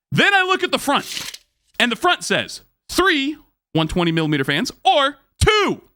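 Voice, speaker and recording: A man who streams, telling a casual story to viewers in an excitable, slangy way, repeating phrases for emphasis. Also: sits high, no echo.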